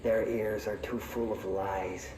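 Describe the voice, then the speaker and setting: A man playing a solemn, devoted and disillusioned figure in a video game, delivering a grave, measured, reflective monologue. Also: on the low side, flat delivery. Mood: bitter, despairing. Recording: echoey room with music behind.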